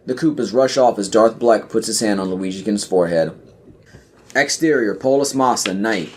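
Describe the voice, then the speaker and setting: A man's voice: lowish voice, normal speed, slight background noise. A man reading scripted scene directions as the narrator of a podcast audio play.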